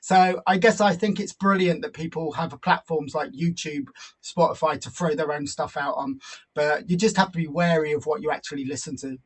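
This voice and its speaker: A man's voice: a little high, steady tone, normal speed, husky. A man in his forties giving reflective, self-deprecating answers as a guest in a conversational interview. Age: 40s. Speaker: guest, actor and filmmaker.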